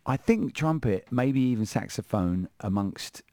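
Chatty radio host, dry sound. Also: male voice, on the low side, expressive, measured pace, darkish tone, no echo. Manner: chatty and playful, rambling asides. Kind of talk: casual radio chat. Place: radio studio. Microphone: studio microphone.

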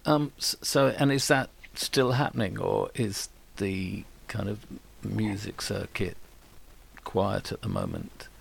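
A man's voice: fairly low pitch, big pitch swings, slow pace, gravelly, breathy edge. A man interviewing a guest, stammering and restarting his questions.

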